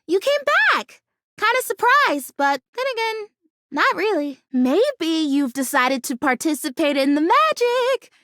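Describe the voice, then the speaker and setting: Game role: an earnest, optimistic young female houseplant, extremely high voice, lively intonation, gushing and chatty. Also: measured pace, no echo, studio quality, exaggerated voice. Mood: excited. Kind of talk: animated video game character acting.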